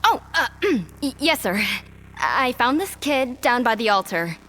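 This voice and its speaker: A woman's voice: high voice, very animated, unhurried, a bit bright, exaggerated voice. A woman playing a sardonic, snappy young female demon guard in an audio drama. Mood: nervous. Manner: sarcastic, exasperated, swooping.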